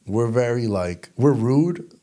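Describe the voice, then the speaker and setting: A man's voice: medium pitch, animated, takes it slowly, warm tone. A man with a New York accent hosting a casual sports chat in slangy, emphatic talk. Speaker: sports talk show host.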